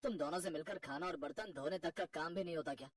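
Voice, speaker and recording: A man's voice: fairly high pitch, fast, breathy edge, no room sound, clean studio sound, distant mic. A man voicing a fed-up, stern adult son with a curt, reproachful manner in a family cartoon. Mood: angry. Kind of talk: Hindi family cartoon voice acting.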